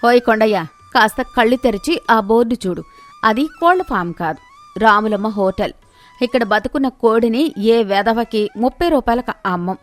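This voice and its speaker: A woman's voice: fairly high pitch, expressive, moderate pace, soft background music, close to an everyday voice. Woman playing a feisty, proud village wife. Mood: angry, proud. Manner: assertive, indignant.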